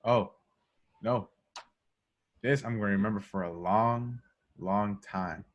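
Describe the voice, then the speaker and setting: A man's voice: on the low side, takes it slowly, muffled recording. A man playing a young streetwise cop, bewildered but insistent, in an amateur table read of a film script. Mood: dazed.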